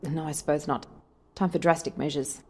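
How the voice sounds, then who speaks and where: A woman's voice: deep voice, fairly even intonation, fairly quick, darkish tone, a little hiss or hum, lightly acted. A woman playing a plucky, restless alien adventurer in an audio drama, speaking in a wry, earnest way. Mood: puzzled, wry.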